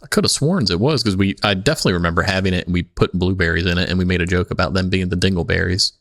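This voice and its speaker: A man's voice: low voice, rapid-fire, fairly bright tone, no echo, clean studio sound. A man hosting a podcast with casual, crude joking banter. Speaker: podcast host.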